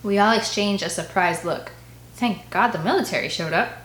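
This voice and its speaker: A woman's voice: medium pitch, normal speed, fairly bright tone. Female audiobook narrator in an echoey room. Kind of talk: expressive first-person read-aloud narration. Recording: echoey, roomy sound.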